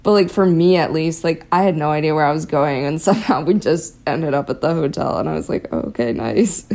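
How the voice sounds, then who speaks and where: Young American woman, slightly low pitch, chatty, casual first-person recollection. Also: brisk, mellow. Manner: chatty, peppered with "like".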